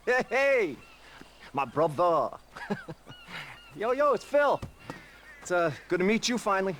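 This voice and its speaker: Over-friendly, swooping-voiced drug buyer. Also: male voice, high-pitched, huge pitch swings, takes it slowly, a bit bright, slight background noise, heavily acted. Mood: eager, friendly. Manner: ingratiating, hesitant, stilted. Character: over-friendly, nervous male drug buyer, secretly a narc. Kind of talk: video game cutscene acting.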